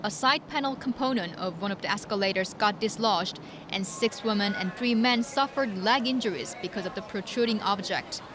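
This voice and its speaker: A woman's voice: mid-range voice, normal speed, crowd noise. A woman narrating a scripted news report as a reporter. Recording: noisy urban traffic ambience behind.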